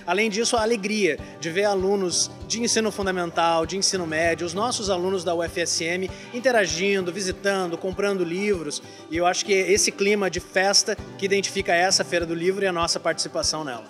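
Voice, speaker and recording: A man's voice: high voice, steady tone, brisk, few pauses, a bit bright, faint music behind. A man giving an articulate on-camera interview as a director. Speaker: publishing house director, interviewee. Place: book fair stall under a tent. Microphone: handheld reporter microphone.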